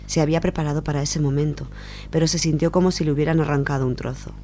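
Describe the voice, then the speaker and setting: A woman's voice: low voice, fairly even intonation, fast talker, dark tone, slight background noise. A woman with an accent from Spain reading a literary short story aloud as a radio storyteller. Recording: music behind the voice.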